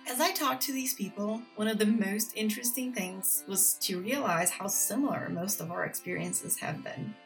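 A woman from Brazil hosting a podcast, reading her scripted narration in a measured, earnest way. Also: mid-range voice, creaky. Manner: measured, earnest, reads with steady emphasis. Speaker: podcast host. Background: faint music.

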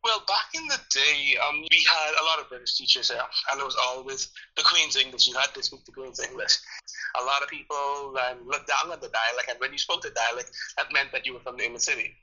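A man's voice: medium pitch, brisk, pushed and bright, lo-fi sound. A man from the Bahamas with a Bahamian accent, answering interview questions casually and expressively, often repeating phrases for emphasis. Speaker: interviewee, tourism ministry worker.

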